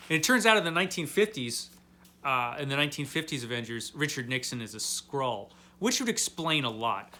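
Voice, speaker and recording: Male review host, higher-pitched and forceful, casual and tongue-in-cheek, leans hard on key words. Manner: animated, emphatic with drawn-out key words; tongue-in-cheek, mock-baffled. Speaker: host of a comic book review series. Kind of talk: casual comedic comic book recap, talking to viewers.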